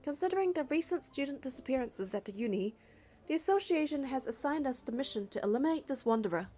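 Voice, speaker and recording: High-voiced woman narrating a game story. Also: animated, quick pace, dark tone. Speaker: narrator and reader of a game playthrough. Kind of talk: scripted story narration with dialogue read aloud. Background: background music.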